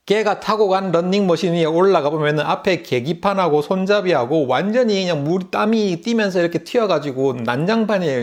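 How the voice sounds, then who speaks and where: A middle-aged man speaks with a Busan Gyeongsang accent in a somewhat higher voice. He tells a casual story to camera as a vlogger. He talks in a loose, chatty way and laughs often. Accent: Gyeongsang (Busan) Korean. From Busan, South Korea. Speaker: YouTube vlogger.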